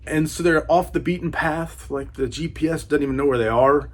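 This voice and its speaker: A man's voice: fairly high pitch, fairly quick. A man giving a casual book review as a channel host, enthusiastic, with a laid-back, slangy way of talking.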